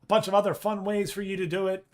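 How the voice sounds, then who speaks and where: Casual, swearing man telling a work story. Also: fast talker. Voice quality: deep voice. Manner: animated storytelling, swears, reads chat aloud. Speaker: streamer. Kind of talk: casual chat with live chat. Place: office.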